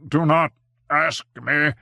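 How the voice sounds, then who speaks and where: A man voicing a tormented, cursed magician who speaks slowly and intensely in an audiobook. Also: on the high side, fairly even intonation, creaky, bright, forceful tone, no room sound, clean studio sound, pitched above the performer's own voice, heavily acted. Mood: urgent. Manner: slow, intense and declamatory. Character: tormented cursed male magician, grave and brooding. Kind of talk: audiobook character voice.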